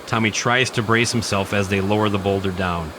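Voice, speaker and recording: A man's voice: on the low side, fairly even intonation, fairly quick, noisy background. A man reading a horror radio play as narrator, in a suspenseful, theatrical style. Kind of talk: dramatic scripted horror narration. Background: prominent music.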